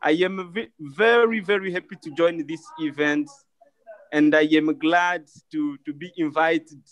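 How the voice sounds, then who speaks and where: A man from Congo, a native French speaker speaking English with a Congolese French accent, talking as a climate activist on a video call in a halting, apologetic way and repeating words. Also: fairly high pitch, steady tone, no echo.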